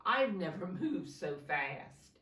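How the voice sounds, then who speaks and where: A gentle little tortoise from a picture book, acted out by a woman reading aloud. It speaks in friendly, kindly exclamations with an animated pitch, recorded in a roomy space slightly off the microphone. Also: takes it slowly, distant mic, exaggerated voice. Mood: excited.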